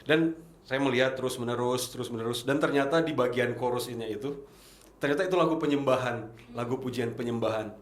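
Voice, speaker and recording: A man in his late twenties from Manado, a podcast guest telling his story in an earnest, reflective way with pauses. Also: mid-range voice, echoey room. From Manado, Indonesia. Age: 20s. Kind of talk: casual testimony.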